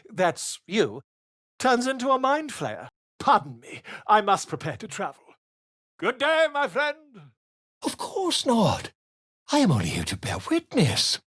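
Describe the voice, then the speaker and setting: An actor voicing a flamboyant, pompous male writer and bard in a video game, speaking in a theatrical, florid, flattering way. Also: sits high, dry recording, studio quality, heavily acted.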